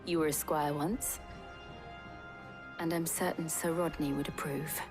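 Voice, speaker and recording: A woman playing a composed, gentle lady warrior and loyal companion in a game drama, calm and soft-spoken. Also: low voice, unhurried, over music. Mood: gently persuading. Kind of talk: video game drama acting.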